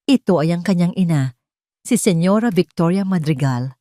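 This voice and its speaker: A woman's voice: on the low side, animated, moderate pace, dark tone, no echo, clean studio sound. A woman reading a scripted dramatic story as its narrator.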